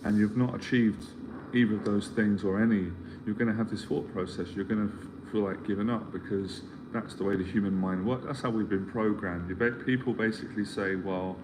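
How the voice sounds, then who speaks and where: A man's voice: lowish voice, steady tone, fairly quick. A middle-aged man giving a motivational talk as a life mentor, earnest and rambling, often repeating himself as he searches for words. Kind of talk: motivational live stream talk. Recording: clear background ambience noise.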